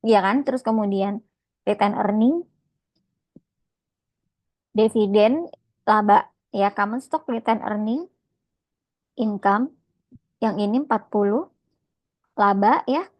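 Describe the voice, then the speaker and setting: A woman's voice: mid-range voice, slow pace, pauses often, no room sound. A woman lecturing informally on accounting step by step, conversational and often checking in with short tags.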